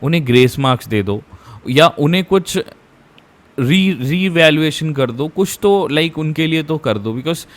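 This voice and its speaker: A man's voice: fairly high pitch, expressive, a little slow. Indian man explaining informally to students. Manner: conversational Hindi-English code-switching, repeats phrases for emphasis. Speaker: education YouTuber, host.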